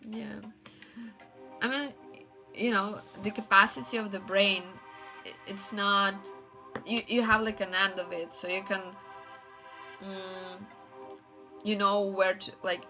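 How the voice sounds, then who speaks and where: A woman livestreamer with a non-native English accent, chatting casually and haltingly as she searches for words. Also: medium pitch, rough recording. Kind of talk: casual chat stream.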